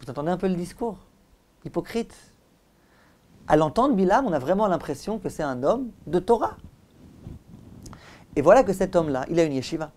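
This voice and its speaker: A man's voice: sits high, warm tone. A man giving a religious lecture as a rabbi, speaking in an emphatic, didactic way with repeated phrases and direct questions to his listeners. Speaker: rabbi giving a Torah lesson.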